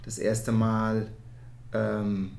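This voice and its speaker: A man from the Netherlands speaks German with a Dutch accent in a reflective interview, often halting to search for words in an animated way. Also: lowish voice, vocal fry. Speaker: interview guest, musical theatre performer.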